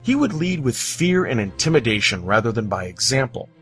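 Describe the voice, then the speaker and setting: A man from the United States narrating scripted personal stories, with dramatic, deliberate phrasing and pauses. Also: mid-range voice, sing-song, a bit bright, husky. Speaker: storyteller. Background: background music.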